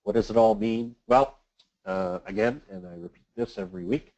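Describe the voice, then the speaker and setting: A man presenting a semi-scripted financial briefing as an analyst, explaining things in a measured, matter-of-fact way. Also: fairly low pitch, fairly even intonation, dark tone, dull audio. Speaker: financial analyst hosting a weekly market briefing. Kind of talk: semi-scripted market commentary.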